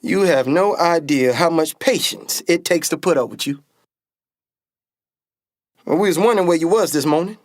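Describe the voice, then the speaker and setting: A man's voice: fairly high pitch, animated, normal speed, long pauses, no echo, lightly acted. Radio play: male actor as young daredevil pilot, protective older brother, folksy vernacular, dry sound. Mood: exasperated. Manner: folksy Southern-style vernacular. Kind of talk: stage-style radio play acting.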